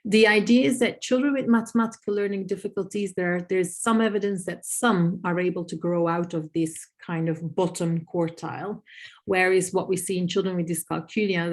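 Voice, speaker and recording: A woman whose first tongue is Dutch talks through a video call, her voice sitting a little low. She explains patiently, listing examples and stopping to gather her thoughts with soft fillers. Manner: thoughtful, many "um" pauses, lists examples. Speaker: researcher answering audience questions. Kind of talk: webinar Q&A, explaining.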